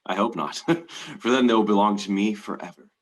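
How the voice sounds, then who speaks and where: A man's voice: on the low side, normal speed, lightly acted. A man acting a menacing, gloating mad lord in a stage play reading, with theatrical, archaic, taunting speech and music behind him. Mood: brooding, possessive.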